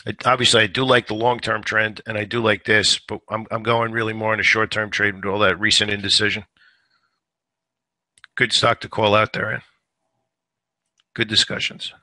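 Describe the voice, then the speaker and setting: A man hosting a stock trading show, giving live market commentary in a conversational way, a little hoarse today and repeating words as he thinks. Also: on the low side, little pitch movement, moderate pace, long pauses, pushed and bright, no echo. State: slightly hoarse.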